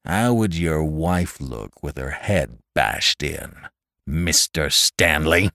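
A man voicing a hot-tempered, threatening head mechanic who talks in a forceful, demanding way. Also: a bass voice, lively intonation, slow pace, creaky, dry recording, clean studio sound, exaggerated voice. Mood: menacing.

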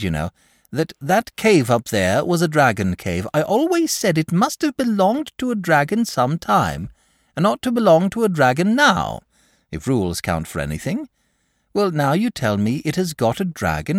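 Man voicing a calm, higher-pitched bookish boy. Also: no echo, studio quality. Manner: matter-of-fact, patient know-it-all explaining. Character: calm, bookish, self-assured young boy. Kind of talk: storybook character voice.

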